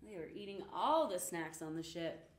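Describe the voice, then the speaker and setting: A young woman tells a children's story with a lively, rising and falling delivery. She sits in a roomy space that adds some echo to her voice. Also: on the low side, normal speed. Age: young adult. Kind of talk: children's picture book read-aloud. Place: indoor room with painted rainbow backdrop. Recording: roomy, echoing indoor sound.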